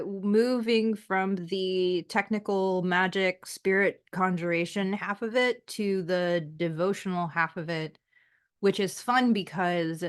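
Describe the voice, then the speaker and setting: A woman co-hosting a podcast, chatting casually with dry humor. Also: mid-range voice. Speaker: podcast co-host.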